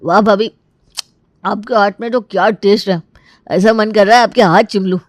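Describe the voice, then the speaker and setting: A woman's voice: medium pitch, steady tone, moderate pace, dry recording, natural voice. A woman voicing a cheeky, pleading young adult in a cartoon family story, playful and exaggerated. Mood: delighted.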